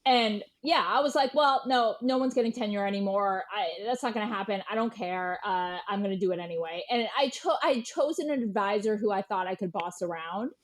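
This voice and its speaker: A woman's voice: medium pitch, fairly quick, hardly any pauses. A woman with a mid-range voice talks as a guest in an interview. She tells her stories conversationally, with a blunt and casual delivery that does not shy from swearing. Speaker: interview guest, writer and historian. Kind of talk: animated conversational storytelling.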